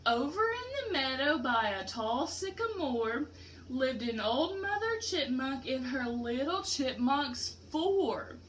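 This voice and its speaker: A woman's voice: high voice, big pitch swings, slow, a bit bright, slight background noise. A woman teacher doing an interactive picture-book read-aloud for a class, explaining simply and putting questions to pupils.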